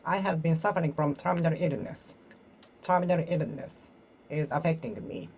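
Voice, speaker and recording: Low-voiced Japanese woman drilling English phrases. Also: darkish tone, slight background noise, rough recording. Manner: repeats and reworks phrases, pausing and self-correcting. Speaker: English learner. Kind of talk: solo speaking practice, talking to herself.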